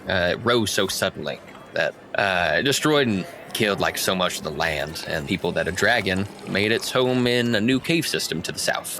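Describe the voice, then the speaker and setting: A man acts out an obliging tavern keeper in a fantasy tabletop game. He is low-voiced and folksy, rambling with lively swings, over faint noise. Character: chatty, obliging male tavern keeper sharing local legend.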